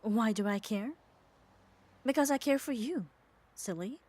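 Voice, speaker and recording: A woman's voice: a little high, lively intonation, measured pace, darkish tone. A woman playing a muscular, possessive yet caring yandere in an ASMR roleplay, speaking with coaxing, tender firmness. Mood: tender, apologetic. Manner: coaxing, firm, tender reassurance. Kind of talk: ASMR roleplay audio drama.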